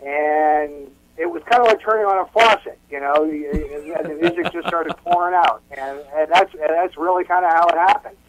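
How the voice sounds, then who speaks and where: Higher-voiced man, halting casual talker. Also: a crowd in the background, low-quality recording. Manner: halting, repeats words as he explains. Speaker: band musician, interview guest. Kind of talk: casual interview chat.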